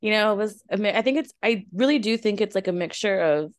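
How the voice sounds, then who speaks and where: A young woman with a raspy voice co-hosting a casual podcast chat, rambling and relaxed. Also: medium pitch, quick pace, no room sound. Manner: relaxed, rambling, laughs often. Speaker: podcast co-host.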